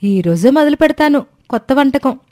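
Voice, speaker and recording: A woman voicing a naive, cheerful, scatterbrained young daughter-in-law in an animated story, speaking eagerly and politely. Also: sits high, moderate pace, no echo, studio quality. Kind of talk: Telugu animated moral story dialogue.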